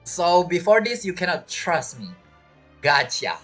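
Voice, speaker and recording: A woman's voice: on the low side, animated, a little slow, music in the background. A woman giving casual, teasing commentary as she reacts to a game. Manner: joking, teasing. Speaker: game streamer.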